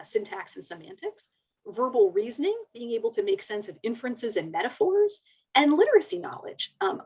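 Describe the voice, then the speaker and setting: A woman's voice: a little high, a little mellow, husky, low-quality recording. A woman presenting research in an explanatory webinar lecture, carefully laying out ideas in plain terms.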